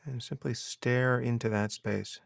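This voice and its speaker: A man guiding a meditation as a teacher, giving measured instructions with unhurried pauses. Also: medium pitch, fairly even intonation, creaky, no room sound, clean studio sound. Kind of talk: guided meditation narration.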